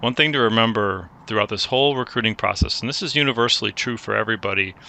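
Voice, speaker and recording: A man hosting a career advice chat, coaching a student in a relaxed way and often restarting his phrases. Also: lowish voice, quick pace, vocal fry. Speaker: host giving career advice. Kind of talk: conversational mentoring chat.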